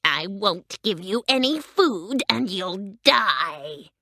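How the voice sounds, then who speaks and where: A woman voicing a wicked old witch who drags out her threats slowly and shrieks high when she melts. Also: very animated, bright, forceful tone, no echo, studio quality, full character voice. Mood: menacing.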